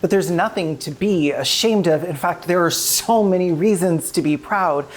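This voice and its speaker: A woman's voice: fairly low pitch, normal speed, a little hiss or hum. A woman host speaking directly to the audience in scripted narration, with informal wording. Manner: informal, sweary. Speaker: video essay creator and host.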